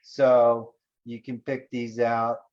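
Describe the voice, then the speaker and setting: A man's voice: mid-range voice, monotone, slow, darkish tone, muffled recording. A man hosting an online business webinar, talking casually with many fillers and loose asides. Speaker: webinar host.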